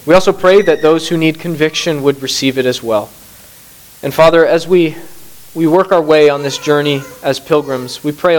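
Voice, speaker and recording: A man's voice: mid-range voice, rough recording. A middle-aged man who grew up in Bangladesh, preaching a sermon with scripture reading and prayer in an earnest manner that rises to emphasis. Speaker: pastor.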